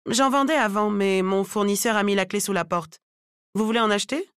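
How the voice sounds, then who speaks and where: A woman narrator plays a patient African salon owner from a novel. She is courteous and calm, keeping an even, amiable tone at medium pitch in a dry recording. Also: fairly quick, clean tone, no echo, natural voice.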